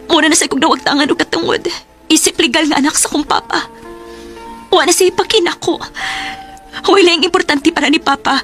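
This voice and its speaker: A woman's voice: high voice, fairly bright tone. An actress with a Cebuano Filipino accent playing a forgiving, long-suffering young woman in an earnest radio drama.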